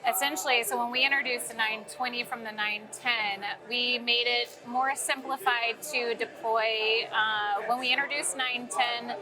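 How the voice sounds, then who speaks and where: A woman's voice: a little high, fairly even intonation, a little slow, few pauses, music in the background, rough recording. A woman giving an informal, conversational product explanation as an interview guest. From St. Louis, United States. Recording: crowd chatter at busy event. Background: crowd at a sales meeting.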